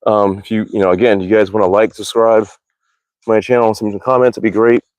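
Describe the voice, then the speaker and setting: A man's voice: on the low side, level tone, fast talker, darkish tone, no echo, poor audio. An American man chatting casually on a livestream, rambling along in an informal, slangy way. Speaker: livestream host, hobby archer. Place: outdoors at a backyard archery range.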